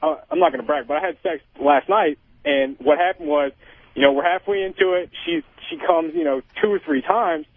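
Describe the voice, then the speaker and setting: Male caller, twenties, rambling and boastful, lively swooping pitch, narrow dry phone-line sound. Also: a little fast, no room sound. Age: 20s. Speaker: phone-in caller, military serviceman. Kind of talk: candid casual phone-in chat. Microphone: telephone. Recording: thin phone-line call to radio show.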